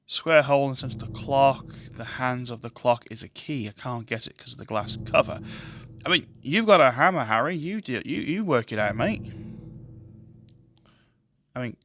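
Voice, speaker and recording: A man with a very deep, bassy voice, hosting casual let's-play commentary on a gaming headset mic, chatty and self-deprecating. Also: expressive, moderate pace, fairly bright tone. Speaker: gaming channel host. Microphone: gaming headset with adjustable boom mic. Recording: game music playing under voice. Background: game music and sounds.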